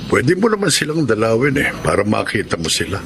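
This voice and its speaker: A man's voice: lowish voice, big pitch swings, fairly quick, vocal fry, noisy background. A man playing an elderly, stern and protective uncle in a Tagalog radio drama, speaking in an admonishing, preachy way. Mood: calm advising.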